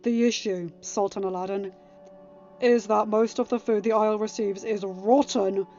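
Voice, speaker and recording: A woman's voice: medium pitch, steady tone, dark tone, muffled recording, faint music behind, natural voice. A woman voicing an earnest young king who speaks formally and diplomatically in a storybook reading. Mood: grave, formal. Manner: formal, measured.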